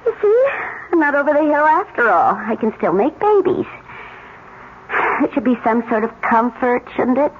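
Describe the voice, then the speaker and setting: A woman's voice: on the high side, sing-song, normal speed. An actress playing a weary, plaintive wife in her thirties in a radio drama. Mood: bitter, distressed. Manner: plaintive, sardonic. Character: weary, bitter pregnant wife and mother. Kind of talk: 1970s radio drama acting. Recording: tinny, noisy old radio broadcast.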